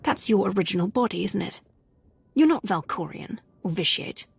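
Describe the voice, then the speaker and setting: An actress playing a tough, sceptical warrior in a video game, husky, dry and challenging. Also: female voice, mid-range voice, expressive, moderate pace, full character voice. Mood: wry defiance. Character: tough, sceptical female warrior, wryly defiant. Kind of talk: dramatic video game acting.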